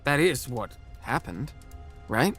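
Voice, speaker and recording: Earnest young knight trainee, medium pitch, faint music behind, fantasy audio drama. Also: male voice, big pitch swings, slow, a bit bright, breathy edge, exaggerated voice. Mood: curious.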